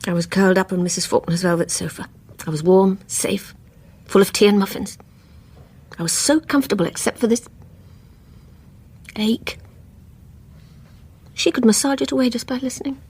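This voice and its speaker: Guarded British housewife, husky voice, mid pitch, self-doubting, wistful, radio drama. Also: female voice, fairly even intonation, moderate pace, long pauses, darkish tone. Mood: wistful, confiding. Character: guarded, self-doubting married woman neighbour, confiding old troubles. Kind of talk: radio play acting.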